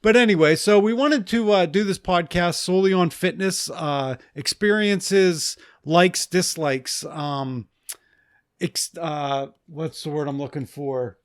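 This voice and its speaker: Man, casual podcast chat, slightly high voice, dry close sound. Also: measured pace, no echo. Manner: laughs often, searches for words. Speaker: podcast co-host.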